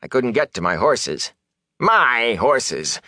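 Man playing ragged storybook peddler, raspy, whining, indignant, jittery, very swooping pitch. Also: big pitch swings, slow pace, pushed and bright, no echo, studio quality, heavily acted. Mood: aggrieved, whining. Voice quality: rasping, sometimes dropping to a hoarse whisper. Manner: rustic dialect, nervous, wheedling rhetorical questions.

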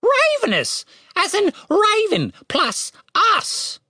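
A woman's voice: very high voice, wildly swooping pitch, sharp tone, no echo, studio quality, pitched above the performer's own voice, heavily acted. A woman voicing a screeching, foul-mouthed giant raven. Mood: mocking. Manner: screeching, sarcastic, drawn-out delivery.

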